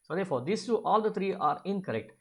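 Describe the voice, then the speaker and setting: Physics tutor, creaky voice, medium pitch, step-by-step explanation, didactic, rhetorical questions. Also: expressive, quick pace. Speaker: physics teacher. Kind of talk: step-by-step spoken tutorial of an exam problem.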